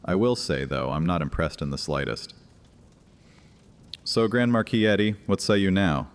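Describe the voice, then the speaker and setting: An actor playing an ancient vampire high priest in an audio horror drama, grandiose, sardonic and taunting. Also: male voice, expressive, normal speed. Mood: scornful. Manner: theatrical, sardonic, taunting, with casual asides. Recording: long cavernous reverb.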